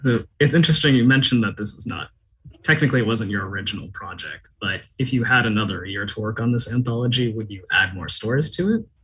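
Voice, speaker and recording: A male interviewer with a slightly low voice that is extremely husky and rough, yet forceful and bright. He puts his questions casually. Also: animated, fast talker, low-quality recording.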